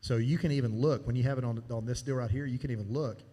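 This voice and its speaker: A man walks a church team through its sound board in a loose, folksy way, explaining as he works the controls. His voice is mellow and dark, and it carries a long reverb from a live church sanctuary. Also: medium pitch, fairly even intonation, fast talker. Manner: casual, folksy, talks while demonstrating. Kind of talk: hands-on sound board training.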